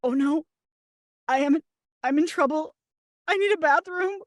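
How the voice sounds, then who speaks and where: A woman's voice: sits high, measured pace, dry recording, clean studio sound. A woman acting a desperate, mortified bride-to-be in a comedy table read, plaintive and blunt. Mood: panicked.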